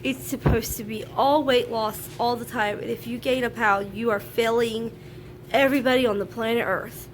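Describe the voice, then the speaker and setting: A frank, chatty woman with a slightly high voice, nasal and stuffed up from a sinus infection. She talks to camera at home, with a faint hum of household noise behind her. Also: fairly even intonation, normal speed, poor audio. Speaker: vlogger. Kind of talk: casual talk to camera. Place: at home, laundry running.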